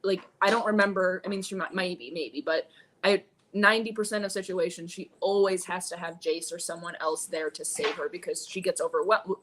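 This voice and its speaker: A woman's voice: lowish voice, fairly even intonation, brisk, a bit bright. A woman talking books with friends as a co-host. She is emphatic and conversational, recounting her own reactions as she goes. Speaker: co-host of a book readalong discussion. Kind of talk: casual book chat.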